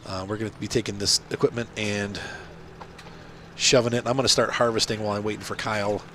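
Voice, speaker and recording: A man's voice: fairly low pitch, level tone, fast talker, sharp tone, slight background noise. Nasal man with a cold, chatty gamer. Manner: rambling, chatty, many fillers and restarts. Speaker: gaming channel host. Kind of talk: casual commentary while playing.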